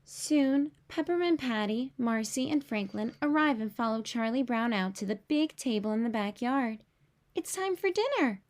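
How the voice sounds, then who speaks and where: Female storyteller, high-pitched, expressive read-aloud for young children. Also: moderate pace.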